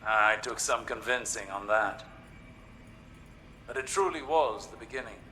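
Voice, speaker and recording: An actor playing an earnest, scholarly older male warlock in a video game, speaking in a deliberate, philosophical way. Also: medium pitch, pushed and bright, slight background noise, poor audio. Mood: musing. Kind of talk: dramatic video game dialogue.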